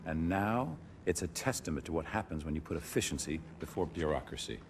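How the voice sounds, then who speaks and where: A man playing a confident, commanding founder of a private military corporation in a game cutscene, speaking in a deliberate way. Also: very deep voice, expressive, slight background noise. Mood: assured. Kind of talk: video game cutscene acting.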